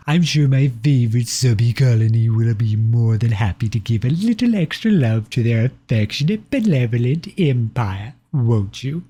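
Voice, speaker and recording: A man's voice: medium pitch, warm tone, slightly rough. A man voicing a pompous, possessive empire personified as a cloyingly affectionate suitor in a scripted ASMR roleplay, reading out letters with sugary condescension.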